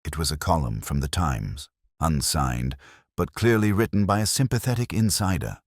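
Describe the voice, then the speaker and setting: A man reading a scripted detective story as its narrator, in a measured, solemn, literary manner. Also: a bass voice, animated, no echo, studio quality. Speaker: audiobook narrator reading as Dr. Watson.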